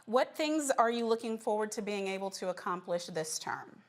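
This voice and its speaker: A woman's voice: mid-range voice, lively intonation, normal speed. An American woman speaking as the host of a news interview, putting questions to guests.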